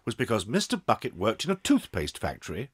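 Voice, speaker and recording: A man narrating a dramatised children's story in an expressive storytelling way. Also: lowish voice, brisk, slightly rough, no echo, clean studio sound.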